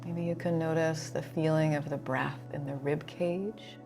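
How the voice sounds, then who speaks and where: A woman leading a guided relaxation as a yoga instructor, soothing and unhurried, with pauses between phrases. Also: low-pitched, fairly even intonation, over music.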